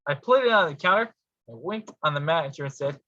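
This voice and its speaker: A man performing a comic storytelling monologue with a halting, theatrical delivery. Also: fairly high pitch, expressive, bright, forceful tone, no room sound. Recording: dull lo-fi sound.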